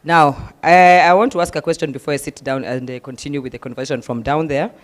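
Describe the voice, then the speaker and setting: Kenyan male moderator, high voice, animated, mixes in Swahili. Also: fast. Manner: animated, mixes Swahili phrases, repeats himself. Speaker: forum moderator. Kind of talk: panel moderation at a town hall. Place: university hall forum.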